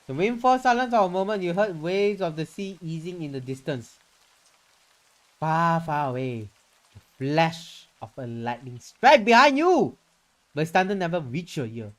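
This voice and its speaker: A man's voice: a little high, expressive, normal speed, fairly bright tone, poor audio. A man reading a visual novel aloud in a theatrical, playful way, with casual reactions in between. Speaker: streamer.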